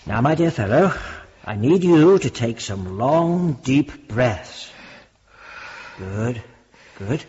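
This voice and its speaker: An actor playing a gentle elderly vicar in a radio drama, husky and reassuring, with lively intonation. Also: male voice, medium pitch, takes it slowly, muffled recording. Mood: soothing, kindly. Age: older. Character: kindly elderly retired vicar with failing sight.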